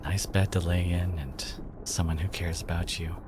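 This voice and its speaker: A man playing a devoted, protective boyfriend in an intimate ASMR audio roleplay, speaking haltingly with sighs and whispers, emotional and tender. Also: deep voice, steady tone, noisy background, close to an everyday voice. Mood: tender, reassuring. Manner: halting, sighing, slipping into breathy whispers.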